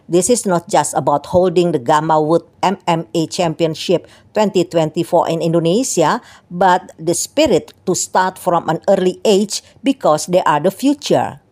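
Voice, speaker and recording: A woman reading scripted radio news in a steady, even delivery. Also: lowish voice. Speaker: news reader.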